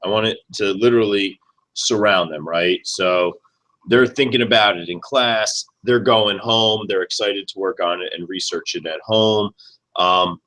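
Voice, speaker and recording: A man's voice: on the low side, unhurried, fairly bright tone, poor audio. A man who teaches fifth grade, speaking from his classroom in a casual, eager way on a video call.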